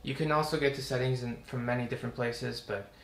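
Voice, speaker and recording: A young man hosting a casual, relaxed tutorial, chatting as he works through steps in a living room. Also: mid-range voice, fast talker, creaky. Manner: relaxed, chatty, talks while working. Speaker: tutorial host. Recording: roomy home recording with faint hum.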